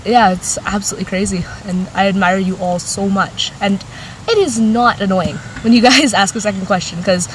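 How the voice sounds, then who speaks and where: A woman's voice: mid-range voice, normal speed. Teenage woman, effusive and chatty, filler-heavy, caught from far away over street noise. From Canada. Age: late teens. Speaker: student interviewee. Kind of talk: casual interview answer. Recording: distant mic, loud outdoor traffic noise.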